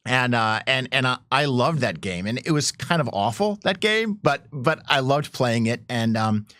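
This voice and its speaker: Middle-aged man, mid-pitched, casual panel storyteller with halting restarts, dry recording. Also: expressive, a bit bright, no echo. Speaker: game developer on a panel. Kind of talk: casual chat.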